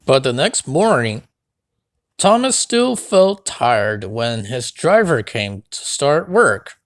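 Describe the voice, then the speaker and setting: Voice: medium pitch, very animated, slow pace, dry recording, studio quality. A storybook narrator reading a scripted children's read-along tale.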